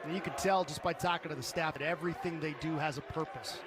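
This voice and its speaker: A man's voice: a little high, fairly even intonation, fast, background noise. A man calling live football play-by-play as a sports commentator.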